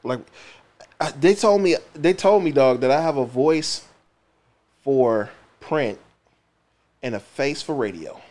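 A man's voice: mid-range voice, slow pace, long pauses, a little mellow. A man co-hosting a homemade radio show in loose, profane banter, calling friends on the air. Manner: loose, swearing, laughing, calls friends on air.

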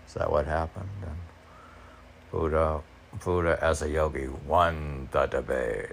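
Deep, unhurried man with a creaky voice. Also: steady tone, a little hiss or hum. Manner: musing, talks to himself in questions. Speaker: poet reading own poems. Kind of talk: rambling poetry reading with self-questioning commentary.

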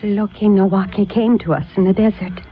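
A woman's voice: medium pitch, steady tone, fairly quick, close to an everyday voice. An actress playing an anxious, reluctant young woman in a radio drama, speaking in a hesitant, emotional way. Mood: uneasy, earnest. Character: anxious, reluctant young niece drawn into sorcery. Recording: tinny old radio sound, faint music.

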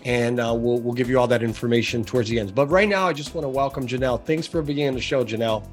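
A man's voice: mid-range voice, fast talker, soft background music. Upbeat male podcast host. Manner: upbeat, conversational with fillers. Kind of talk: podcast introduction and interview.

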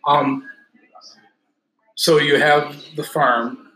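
Middle-aged male host, bright and a bit high, casual rambling questions; echoey room. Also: drawn-out words. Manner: relaxed, rambling questions with fillers. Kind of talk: casual interview chat. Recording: echoey room, faint noise.

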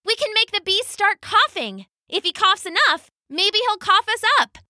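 Woman as an earnest, high-voiced girl. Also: very animated, slow pace, bright, forceful tone, no room sound, studio quality, heavily acted. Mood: eager. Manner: earnest, pleading, eager.

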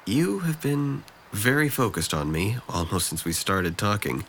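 A young man, played by a male actor in an audio drama, speaking in a very deep voice with plenty of fry. He is guarded and sardonic, putting himself down in a dry, hesitant way. There is some faint noise on the recording. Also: very animated, measured pace, fairly bright tone, exaggerated voice. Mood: questioning. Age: young adult. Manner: dry, self-deprecating, hesitant when confiding.

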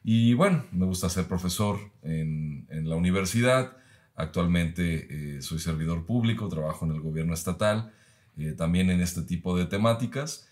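A man, speaking as a podcast guest in a measured, reflective way with frequent pauses. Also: sits low, darkish tone. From Mexico. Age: 30s. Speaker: guest, university professor.

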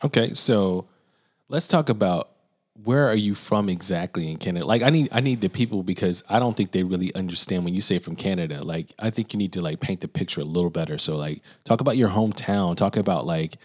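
A man's voice: low voice, a little fast. A man co-hosting a podcast, greeting listeners and prompting his partner with questions. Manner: upbeat, prompting interviewer. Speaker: podcast co-host. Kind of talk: casual podcast chat.

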